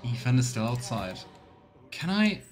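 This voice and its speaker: A man streaming a video game with casual commentary and role-play asides, thinking out loud. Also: medium pitch, very animated, measured pace, soft background music.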